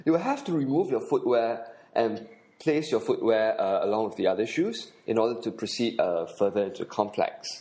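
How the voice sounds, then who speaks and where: A man's voice: on the low side, brisk, a little mellow, rough recording. A man with a Singaporean accent, hosting a podcast and narrating an audio tour with earnest instruction. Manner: earnest, instructive, with occasional uh fillers.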